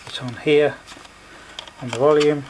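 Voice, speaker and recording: A man giving a hands-on product review, explaining step by step in short, unhurried phrases, indoors at a desk. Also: a little high, steady tone, a little mellow. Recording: noisy home recording with clicks.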